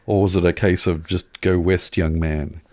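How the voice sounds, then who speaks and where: A man's voice: low-pitched, steady tone, normal speed, no echo, clean studio sound. A middle-aged man co-hosting a podcast in casual, playful, teasing chat. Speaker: podcast co-host.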